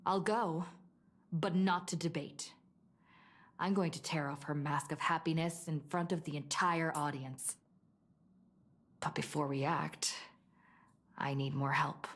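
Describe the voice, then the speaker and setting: A woman voicing a cool, resolute, sharp-minded young fighter in a video game, speaking deliberately. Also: deep voice, fairly even intonation.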